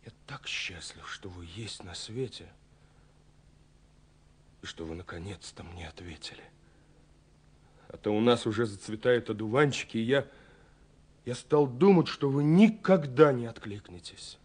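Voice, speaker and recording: A man's voice: medium pitch, lively intonation, a little slow, long pauses, breathy edge. An actor playing an ardent, poetic young man in love, reading letters with rapt tenderness in a radio play. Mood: hushed tenderness. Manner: rapt, swelling then dropping to hushed tenderness. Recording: 1970s radio production with music. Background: music.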